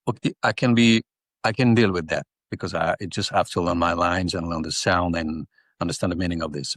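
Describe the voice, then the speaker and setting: A man, not a native English speaker, answering interview questions with halting speech and repeated words as he searches for phrasing. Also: deep voice, a bit bright, no echo, clean studio sound. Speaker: actor and interview guest.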